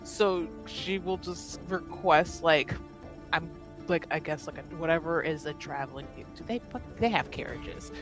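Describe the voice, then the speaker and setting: A woman's voice: low-pitched, moderate pace, soft background music. A woman, giggly and rambling as she improvises casually as a player in a tabletop roleplaying game.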